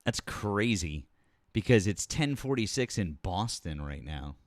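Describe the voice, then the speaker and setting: A man's voice: low-pitched, sing-song, a little slow, no echo, clean studio sound. A man hosting a live political talk stream, taking callers and speaking casually with lots of fillers and blunt swearing. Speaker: political talk show host.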